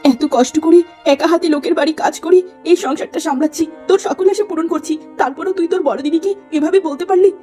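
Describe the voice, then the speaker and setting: A woman's voice: very high voice, brisk, hardly any pauses, mellow, faint music behind, voice pushed up. Woman as a plaintive, humble elder sister. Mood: hurt. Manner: plaintive, pleading. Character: humble, long-suffering poor elder sister, gentle young woman.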